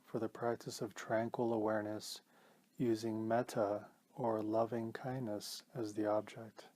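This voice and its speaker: A man leading a guided meditation as a teacher, speaking in a calm, gentle, measured way with pauses. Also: lowish voice.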